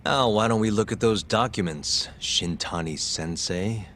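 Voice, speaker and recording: A man's voice: fairly low pitch, measured pace, a bit bright, a little hiss or hum. An actor playing a laid-back, blunt male detective in a crime drama video game dub, speaking casually. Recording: music behind the dialogue.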